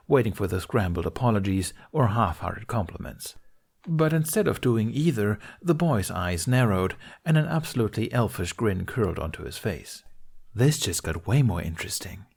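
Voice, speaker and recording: A man reading scripted fiction aloud as an expressive podfic narrator. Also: fairly low pitch, husky.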